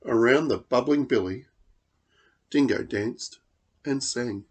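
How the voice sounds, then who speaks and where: A man reading a children's storybook aloud as an expressive narrator. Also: mid-range voice, slow pace, slightly rough. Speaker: storybook reader.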